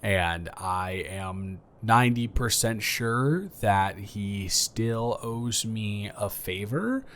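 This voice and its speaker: A man's voice: lowish voice, animated, very slow, few pauses, a bit bright, heavily acted. A man playing an awkward, friendly neighbor in an improvised roleplay audio, stammering and rambling hesitantly. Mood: hesitant, helpful.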